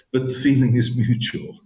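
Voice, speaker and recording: A man's voice: mid-range voice, level tone, unhurried, mellow, echoey room. A man being interviewed as an author. He talks reflectively, often repeating words as he searches for the right phrase. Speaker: author guest.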